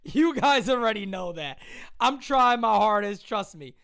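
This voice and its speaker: A man hosting a live-stream sports show, talking casually and excitably, muttering one moment and yelling the next, his voice strained. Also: high-pitched, measured pace, creaky, clean tone.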